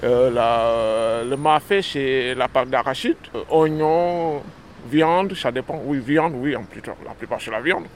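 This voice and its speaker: A man's voice: mid-range voice, measured pace, slight background noise. A man answering a street interview outdoors, hesitating and searching for words as he explains. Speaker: passerby.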